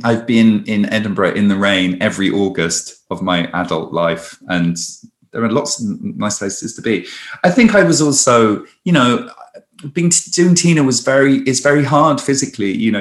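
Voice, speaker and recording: A man's voice: lowish voice, normal speed. Chatty man in an echoey room. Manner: chatty, many restarts, lively storytelling. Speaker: stage performer. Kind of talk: conversational interview.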